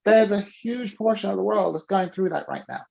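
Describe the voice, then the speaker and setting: A man coaching a participant on a video call, animated and teasing as he prods them by name. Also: sits high, brisk, creaky, dull audio. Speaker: business coach.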